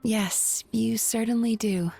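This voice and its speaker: A woman playing a composed, caring therapist with dry wit in a video game, speaking in a measured, articulate way. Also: on the high side, steady tone, vocal fry, warm tone, slightly rough, faint music behind, exaggerated voice. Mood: thoughtful.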